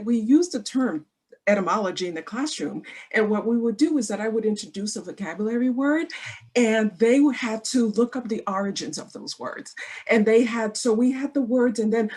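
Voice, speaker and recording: Husky-voiced woman, chatty teacher. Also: on the high side, normal speed, a little mellow. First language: Haitian Creole. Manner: effusive and chatty, with restarts. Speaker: former third-grade teacher, meeting attendee. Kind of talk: casual comment in a video-call Q&A. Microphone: video call.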